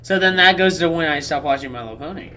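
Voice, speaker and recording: A man's voice: on the high side, quick pace, bright, forceful tone, slight background noise. A man giving casual, off-the-cuff reaction commentary as a video host. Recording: music behind the voice.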